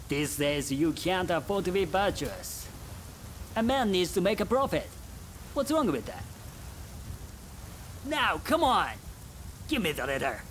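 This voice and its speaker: An actor playing a mercenary, cajoling man in a samurai-era video game, expressive and exasperated, with a grudging streak. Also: sits high, slow, pauses often, slight background noise, heavily acted. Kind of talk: video game cutscene acting.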